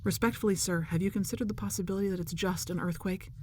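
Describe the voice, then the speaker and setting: An actress playing a dutiful, determined officer in an audio drama, clipped, formal and fiery. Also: female voice, medium pitch, fairly even intonation, fast talker, a little mellow, breathy edge, lightly acted. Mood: insistent.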